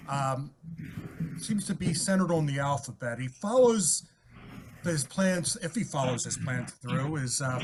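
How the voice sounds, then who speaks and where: An actor as an officious police inspector in an old radio drama. He speaks hesitantly with wide swings of pitch, over faint noise. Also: natural voice, low-quality recording. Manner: hesitant, self-correcting. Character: halting, officious police inspector warning the public. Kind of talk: old-time radio play acting.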